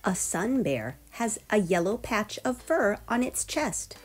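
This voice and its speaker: A woman reading a children's nonfiction book aloud as storyteller, expressive and addressing young listeners directly. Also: medium pitch, slow pace. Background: background music.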